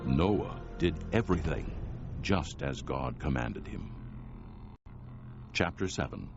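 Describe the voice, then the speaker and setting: Very deep-voiced male scripture narrator. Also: very animated. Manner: solemn, measured storytelling. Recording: dull sound with music underneath. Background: music.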